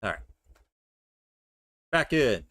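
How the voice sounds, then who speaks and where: A man's voice: mid-range voice, very animated, drawn-out words, fairly bright tone. A man streaming a video game, casually commenting and reading dialogue aloud.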